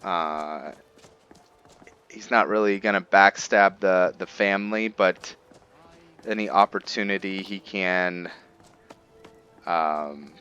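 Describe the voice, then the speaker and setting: A man doing casual roleplay commentary over a video game, thinking aloud in a halting way. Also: deep voice, pushed and bright, clean tone, highs cut off. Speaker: gaming video host. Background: game music.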